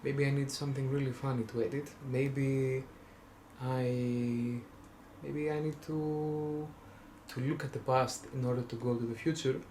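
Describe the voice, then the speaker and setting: A man's voice: medium pitch, little pitch movement, unhurried, mellow, a little hiss or hum. A young man from Greece with a Greek accent, rambling casually in a vlog, full of fillers and self-restarts with wry jokes. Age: young adult. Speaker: vlogger.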